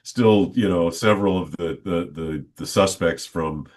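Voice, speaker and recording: A middle-aged man, a stadium announcer, telling stories in a relaxed, good-humored interview. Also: low voice, a little slow. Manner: good-humored, reflective, talks at length. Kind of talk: casual storytelling in an interview.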